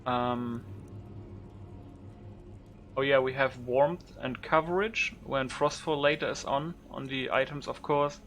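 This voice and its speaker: A man's voice: medium pitch, fairly even intonation, takes it slowly, long pauses, a bit bright. A man doing loose, informal game commentary as a YouTuber, thinking aloud without a script. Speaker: gaming YouTuber showing a modded game. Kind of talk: casual unscripted game commentary.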